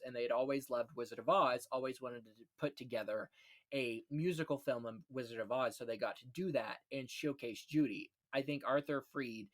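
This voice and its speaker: Man chatting about history, mid-pitched voice. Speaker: podcast host, film history enthusiast. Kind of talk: casual film history discussion.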